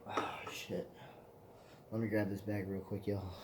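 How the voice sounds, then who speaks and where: A man's voice: on the low side, level tone, measured pace, very noisy. A man in his twenties, hosting his own vlog in a loose, profane, meandering casual chat. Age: 20s. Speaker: vlog host.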